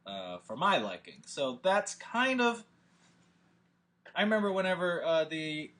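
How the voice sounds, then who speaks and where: A man speaking informally to an audience as a host and writer, in a rambling, animated and emphatic way. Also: a little high, unhurried. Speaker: host and scriptwriter introducing a table read.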